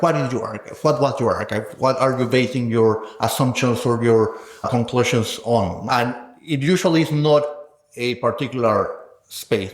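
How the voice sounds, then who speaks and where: A man with a mid-pitched voice talks in a reverberant room. He explains scholarly ideas in a thoughtful, conversational interview style, often checking in with short tag questions. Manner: thoughtful, explanatory, tags phrases with "no?" and "right?". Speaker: sound scholar, interviewed guest. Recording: echoey room.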